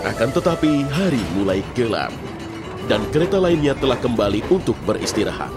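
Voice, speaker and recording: A male narrator tells a children's story. The reading is expressive, with lively pitch movement, over loud background music. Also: a little fast.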